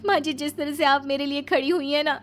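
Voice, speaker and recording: A woman's voice: high-pitched, steady tone, fast talker, soft background music, voice pushed up, natural voice. A woman voicing an indignant, pleading young daughter-in-law in a cartoon story. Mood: tearful, earnest. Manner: pleading, emphatic.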